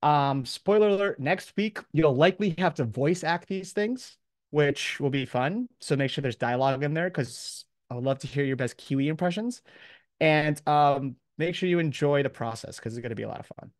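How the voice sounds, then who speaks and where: A man's voice: a little high, normal speed, no room sound, clean studio sound. A man speaking as a college professor, giving an informal, encouraging lecture to his class in casual, slangy language.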